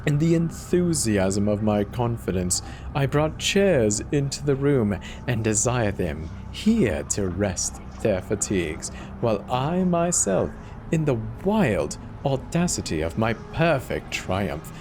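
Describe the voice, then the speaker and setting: A man voicing a guilt-racked, paranoid murderer in a theatrical, deliberate dramatic horror reading with drawn-out pauses. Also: mid-range voice, sing-song, background noise. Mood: smug, confident. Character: guilt-racked madman murderer confessing in growing paranoid agitation. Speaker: storyteller.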